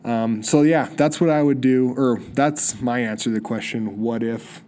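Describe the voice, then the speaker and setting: A man's voice: mid-range voice, steady tone, normal speed, mellow, a little hiss or hum. A young man hosting a solo podcast, sniffly with a cold, rambling eagerly with many restarts. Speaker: podcast host. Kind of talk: solo casual podcast monologue.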